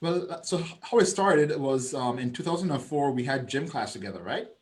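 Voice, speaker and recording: A man's voice: mid-range voice, a little fast, a little hiss or hum. Caribbean-accented man telling a story. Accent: Virgin Islands Caribbean. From Saint Thomas, US Virgin Islands. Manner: storytelling, relaxed, laughs often. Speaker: guest. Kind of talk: casual video-call chat. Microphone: video call.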